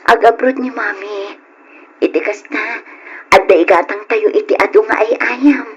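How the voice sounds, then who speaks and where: A woman acting in an old Ilocano radio drama as a devoted young mother, tender, pleading and emotional, with a husky voice. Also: medium pitch, steady tone, moderate pace, muffled recording. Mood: gently reassuring. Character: devoted young mother leaving her children to work abroad.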